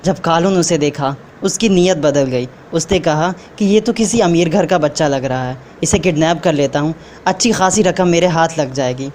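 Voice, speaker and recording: A woman's voice: low voice, normal speed, darkish tone. Woman narrating, faint noise behind. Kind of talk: scripted children's story narration.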